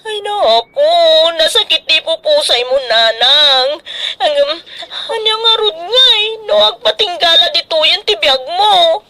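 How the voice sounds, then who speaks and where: An actress with an Ilocano accent playing a greedy, scheming, bossy daughter in a radio drama, pushy and exclamatory. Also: female voice, very high-pitched, lively intonation, unhurried, hardly any pauses, sharp tone, full character voice. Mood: feigned grief.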